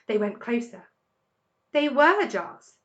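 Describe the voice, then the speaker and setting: A woman with a fairly high voice reads a children's story aloud. Her narration is scripted but expressive, with dramatic emphasis. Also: slow, highs cut off. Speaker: story reader.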